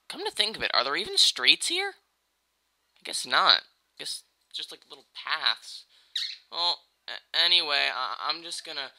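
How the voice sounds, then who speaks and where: An amateur performer voicing a whiny, exasperated boy hero with a sarcastic, halting, casual delivery in a video game parody. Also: medium pitch, bright, forceful tone, clear, resonant tone, full character voice.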